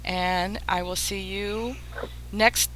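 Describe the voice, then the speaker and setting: An American woman with a bright, forward voice hosts a casual group chat. She is effusive and leans on repeated words for emphasis. The line is noisy, with a hum underneath. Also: mid-range voice, fairly even intonation, slow pace. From Massachusetts, USA. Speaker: host of an online radio theater show. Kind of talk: casual group chat and thank-you sign-off.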